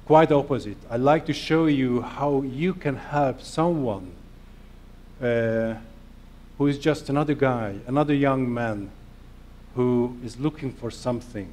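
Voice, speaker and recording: A middle-aged man from Hungary with a Hungarian accent, giving an earnest, self-deprecating conference presentation in halting non-native phrasing. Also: medium pitch, darkish tone. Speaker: conference speaker.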